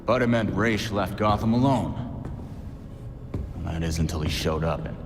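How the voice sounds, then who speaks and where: An actor playing a cynical, crude young vigilante in a video game, with an American accent and a gruff, sardonic, blunt delivery. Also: male voice, low-pitched, moderate pace, noisy background. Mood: grim, skeptical. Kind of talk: video game cutscene acting. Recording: long reverb, music behind.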